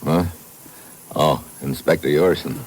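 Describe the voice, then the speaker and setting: An actor playing a tough, dogged American secret agent in a 1950s radio thriller, with clipped, terse delivery. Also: male voice, a bass voice, slow, slight background noise, poor audio. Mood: dry. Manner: clipped, terse, hard-boiled. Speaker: lead actor who also narrates the story. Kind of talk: 1950s radio thriller acting, hard-boiled first-person narration. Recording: vintage 1950s radio recording.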